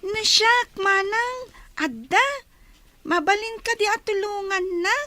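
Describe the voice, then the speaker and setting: Little kid sister, super high bright voice, eager, radio drama. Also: female voice, animated, takes it slowly, dry recording, heavily acted.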